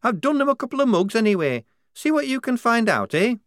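A man's voice: very high-pitched, big pitch swings, a little fast, a bit bright, dry recording, studio quality, pitched above the performer's own voice, heavily acted. A man reading an audiobook, voicing an eager young soldier in the squad who talks cheerfully. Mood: chirpy. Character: chirpy young soldier brewing tea.

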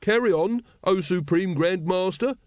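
A man's voice: sits high, unhurried, no room sound, clean studio sound, pitched above the performer's own voice. A man voicing a fawning, officious member of a secret order, obsequious in manner, in a comic fantasy storybook.

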